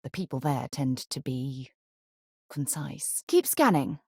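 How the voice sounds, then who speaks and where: A woman voicing a composed, principled female space explorer and former navigator in a video game, articulate and measured in her phrasing. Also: extremely low pitch, lively intonation, vocal fry, dark tone, breathy edge, no room sound, clean studio sound, exaggerated voice. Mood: composed, matter-of-fact. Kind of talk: acted game dialogue lines.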